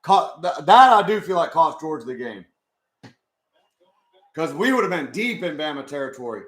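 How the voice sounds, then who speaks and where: A young man with a hoarse voice, chatting casually with his live chat as he watches a football game. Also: a little high, big pitch swings, normal speed, a bit bright, roomy sound. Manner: chatty, swears, talks to chat. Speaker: live stream host.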